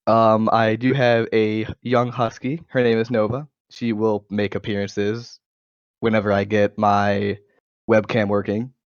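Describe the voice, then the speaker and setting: A young American man with a fairly low voice chats casually as a podcast co-host. The recording is dry and somewhat dull, from a cheap gaming mic. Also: little pitch movement, unhurried, clean tone, no echo. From United States. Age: 20s. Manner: occasional stutter. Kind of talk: casual chat. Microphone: low-quality gaming microphone.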